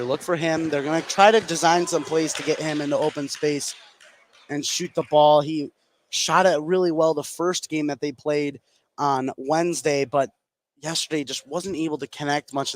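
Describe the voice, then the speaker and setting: A man's voice: a little high, slight background noise. A man giving live color commentary and analysis at a basketball game.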